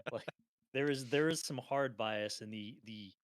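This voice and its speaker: A man's voice: mid-range voice, unhurried, no room sound, studio quality. A man in his thirties co-hosting a casual gaming podcast, telling stories with lots of laughter and casual swearing. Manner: laughs often, animated storytelling, swears casually. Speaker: co-host.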